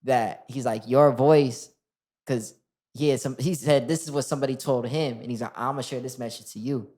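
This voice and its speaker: A man's voice: mid-range voice, normal speed. A man in his twenties, a rapper guest, talking casually in an interview and often restarting with "like". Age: 20s. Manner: relaxed, lots of "like" and restarts.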